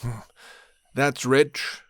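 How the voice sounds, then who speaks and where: A man's voice: medium pitch, fairly even intonation, very slow, no room sound. A man voicing a sardonic rebel leader who mocks an old friend and then pleads earnestly. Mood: mocking.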